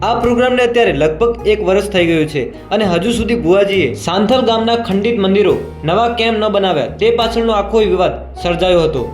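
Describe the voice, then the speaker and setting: A man's voice: sits high, quick pace, hardly any pauses. A man narrating a scripted explainer as a channel host. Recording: roomy echo, faint music behind.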